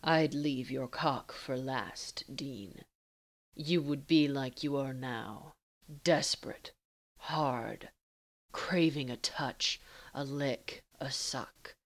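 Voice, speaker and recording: A woman voicing a calm, seductive man who speaks in a formal, measured, teasing way in an audiobook romance. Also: rumbling low, exaggerated voice. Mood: low, commanding, teasing. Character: calm, commanding, seductive male lover, low and deliberate.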